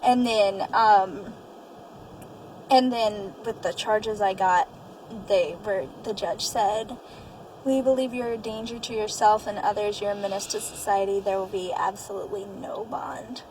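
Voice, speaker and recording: A woman's voice: medium pitch, fairly even intonation, a little slow, darkish tone, slight background noise, low-quality recording. A young adult woman telling her own story casually and candidly, in colloquial speech with quoted dialogue.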